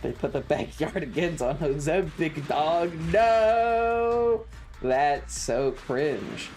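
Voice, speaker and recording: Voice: medium pitch, lively intonation, slow pace, darkish tone, soft background music. A streamer chatting casually while gaming, with foul-mouthed slang and playful exclamations. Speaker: variety streamer. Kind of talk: casual gaming livestream chat.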